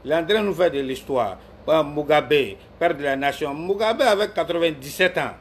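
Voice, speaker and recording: A man's voice: on the high side, lively intonation, moderate pace, slight background noise. Argumentative man scolding viewers. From Ivory Coast. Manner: argumentative, scolds viewers by name, repeats himself. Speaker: live-stream commentator answering viewers. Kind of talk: heated political commentary.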